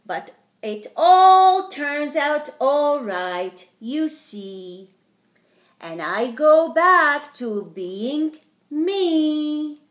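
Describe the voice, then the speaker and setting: A middle-aged woman reading a picture book aloud to young children in a theatrical, deliberate way, as a storytime host. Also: high-pitched, expressive. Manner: theatrical, slow and deliberate, addressing small children. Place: indoor wood-panelled living room. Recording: roomy, echoing home recording.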